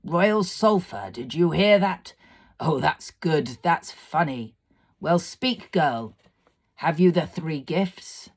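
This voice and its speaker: Storybook villain: woman playing a cruel male fire demon, mocking and commanding, slow, weighty delivery. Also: sits low, lively intonation, darkish tone, full character voice. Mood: scornful. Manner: imperious, scornful, declamatory. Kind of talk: dramatised storybook reading.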